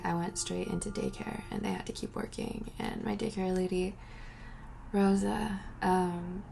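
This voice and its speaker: A woman's voice: medium pitch, gravelly. A young woman answering viewer questions in a rambling, casual chat, breaking off mid-sentence and laughing between thoughts.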